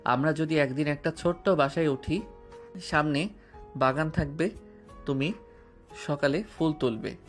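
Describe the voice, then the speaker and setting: A man's voice: fairly high pitch, unhurried, pauses often, close to an everyday voice. A male voice actor playing a playful, devoted young husband in an audio romance drama. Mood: tender. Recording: music bed underneath.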